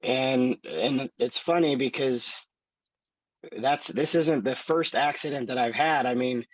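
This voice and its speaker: A man in his thirties with a raspy voice, slowly telling his life story in an interview with many fillers. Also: mid-range voice, no echo, lo-fi sound. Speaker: student.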